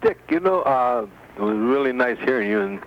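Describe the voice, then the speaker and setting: Halting male caller on a noisy line. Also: mid-range voice. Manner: halting, rambling. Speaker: radio phone-in caller. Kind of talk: casual chat. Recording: dull, noisy phone call on radio.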